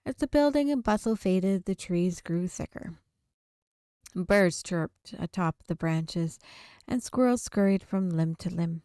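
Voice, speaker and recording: A woman's voice: fairly low pitch, animated, unhurried, mellow, clear, resonant tone, no echo, studio quality. A woman streaming a visual novel, reading its narration aloud expressively and sometimes correcting herself mid-sentence. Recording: background music under the voice. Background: game music.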